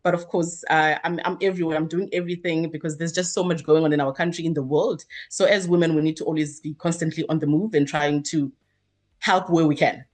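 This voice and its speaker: Low-voiced woman in her thirties. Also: fairly even intonation, a little fast. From South Africa. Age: 30s. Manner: earnest, expansive, many fillers and restarts. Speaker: guest, activist. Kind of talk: interview answers.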